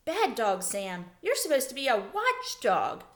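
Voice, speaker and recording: Bossy little storybook girl, done by a woman reader, scolding, high and lively. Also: a little slow, echoey room, exaggerated voice. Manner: scolding, calling out. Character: scolding young girl camper.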